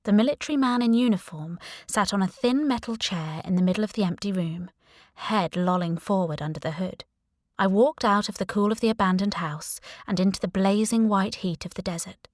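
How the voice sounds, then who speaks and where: A woman reading scripted first-person fiction as an audiobook narrator, in a wry, expressive way. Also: mid-range voice, a little fast, no room sound, clean studio sound.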